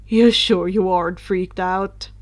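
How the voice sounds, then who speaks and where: A woman voicing an earnest, good-natured teenage boy who is a Dementor, breathy and sheepish, in a story reading. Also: on the low side, steady tone, takes it slowly, dry recording, pitched below the performer's own voice. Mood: awed, content.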